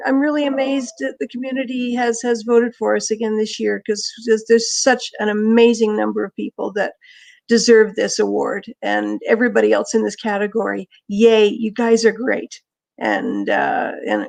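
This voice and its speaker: A woman giving an unprepared acceptance speech over a video call, halting and moved, saying she is losing her voice. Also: fairly high pitch, gravelly, darkish tone. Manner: halting, many restarts, moved. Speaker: award winner, magazine managing editor.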